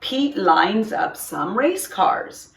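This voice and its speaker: A woman hosting a storytime, reading a children's picture book aloud in an expressive, deliberate way. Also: medium pitch, vocal fry. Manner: expressive, deliberate, puts on character dialogue. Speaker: storytime host, reader. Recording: roomy echo, distant microphone.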